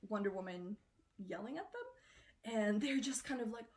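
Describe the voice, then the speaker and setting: Woman, cosplayer interviewee, casual chatty delivery, middle pitch. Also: animated, moderate pace. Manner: chatty, loose, with frequent fillers.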